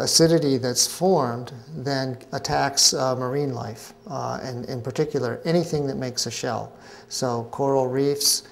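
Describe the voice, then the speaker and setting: A middle-aged man, a scientist being interviewed at a conference pavilion, explaining in a measured way with frequent hesitations. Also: mid-range voice, creaky, slight background noise. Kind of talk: interview answers.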